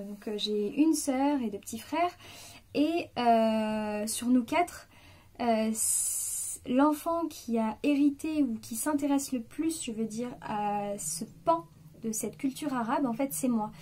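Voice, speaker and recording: A woman's voice: a little high, a little mellow. Hesitant young woman musing aloud. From France. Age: 20s. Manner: hesitant, many fillers and restarts, confiding tone. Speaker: vlogger. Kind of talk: spontaneous personal reflection.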